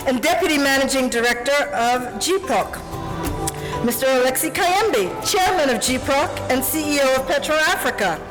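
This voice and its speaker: A woman's voice: sits high, lively intonation, moderate pace, over music, rough recording. A woman acting as master of ceremonies at a formal event, reading out guests' names and titles in a formal manner. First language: Portuguese. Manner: formal, reads introductions and titles.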